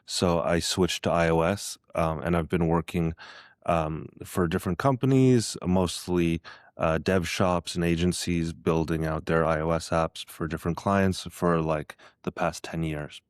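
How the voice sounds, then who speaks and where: A man's voice: low voice, fairly even intonation, measured pace, clear, resonant tone, no room sound, studio quality. A man talking as a podcast guest in a casual conversation, hesitant, with many fillers and restarts. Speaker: guest, iOS app developer.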